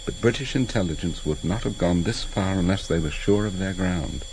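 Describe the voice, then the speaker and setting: An actor playing a grave, gentle family friend in a British radio drama, sober and measured. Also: male voice, sits low, rough recording. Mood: grave, reasoning. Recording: hissy vintage radio recording.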